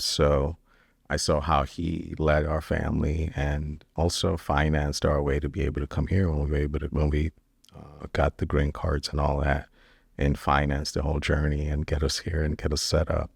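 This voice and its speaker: A middle-aged man from Kenya, a business founder answering interview questions in a relaxed, rambling way with many fillers. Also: a bass voice, vocal fry, breathy edge, no echo, clean studio sound.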